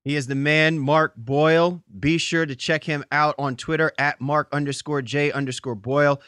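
Man, podcast host, smooth voice, slightly high pitch, effusive, informal sports talk. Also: flat delivery, normal speed, fairly bright tone, dry recording, studio quality. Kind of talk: conversational sports podcast interviewing.

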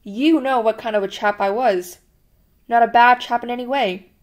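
A woman's voice: fairly high pitch, moderate pace, natural voice. A woman voicing a frightened, servile middle-aged man who pleads anxiously and almost blubbers. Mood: pleading.

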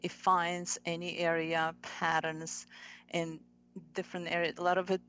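Ukrainian-accented woman, low voice. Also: level tone, fairly bright tone, lo-fi sound, slight background noise. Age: middle-aged. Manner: earnest, halting with restarts. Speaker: guest, nutrition coach. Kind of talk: casual interview talk.

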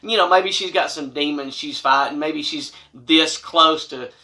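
A man's voice: a little high, a little slow, sharp tone. A middle-aged American man telling a personal story to camera in a casual, folksy and emphatic way. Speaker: storytelling vlogger. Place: small wood-paneled room indoors.

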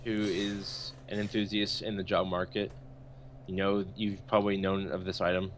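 A man's voice: low-pitched, level tone, moderate pace. A young adult man co-hosting a podcast in casual, slangy banter, speaking into a headset in a bedroom. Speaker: podcast co-host. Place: small bedroom, indoors. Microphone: headset with boom, online call. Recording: faint background noise.

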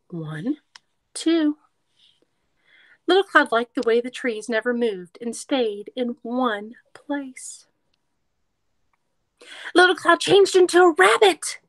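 Young female storyteller for preschool read-aloud; unhurried, fairly high voice, expressive, addresses little listeners directly. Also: no echo. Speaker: children's story reader. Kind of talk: picture-book read-aloud for young children.

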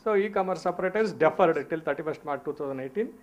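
A man's voice: on the high side, fast talker, muffled recording. A middle-aged man, a seminar panelist on a handheld mic, telling his story with many filler sounds. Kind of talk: panel discussion. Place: seminar hall stage.

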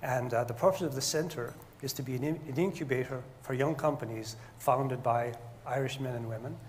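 Older male panelist, medium pitch, measured and hesitant, slight background noise. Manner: deliberate, hesitant with frequent pauses. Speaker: panelist, engineer. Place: stage of a lecture hall, indoors. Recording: faint noise in a hall.

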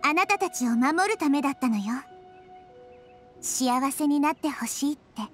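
Game role: wise little goddess girl, high, soft, composed, over music. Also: lively intonation, moderate pace. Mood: tender, consoling. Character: small, gentle, wise childlike girl deity. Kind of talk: Japanese anime-style game voice acting.

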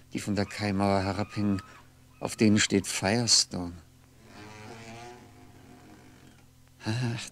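Weary lonely ageing man in a 60s radio play, lowish gravelly voice, brooding to himself, faint noise. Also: fairly bright tone. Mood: wistful reverie. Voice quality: gravelly, often sinking into breathy whisper. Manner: halting, brooding, fragmented musing with pauses. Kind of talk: 1960s radio drama monologue.

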